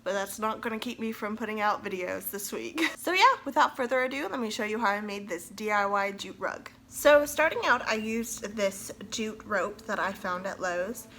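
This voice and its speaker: A woman's voice: mid-range voice, moderate pace, hardly any pauses, fairly bright tone, slight background noise. A young woman with a congested voice from a cold, casually narrating a DIY tutorial as the host of her channel. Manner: chatty, self-deprecating, laughs between phrases.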